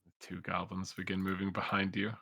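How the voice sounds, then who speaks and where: A man with a fairly low voice and a bright, forceful edge runs a tabletop game, narrating scenes and calling for checks. His delivery is easygoing and amused. The sound is dry and close. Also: a little fast, vocal fry, husky, no room sound. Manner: relaxed descriptive narration, laughs often. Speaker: game master.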